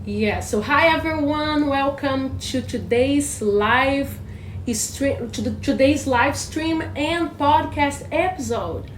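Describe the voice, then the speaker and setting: Slow, echoey Brazilian woman co-host. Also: high-pitched, slight background noise. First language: Portuguese. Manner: animated, restarts phrases often. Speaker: podcast co-host. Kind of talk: casual teaching chat. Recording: echoey room, faint ambience.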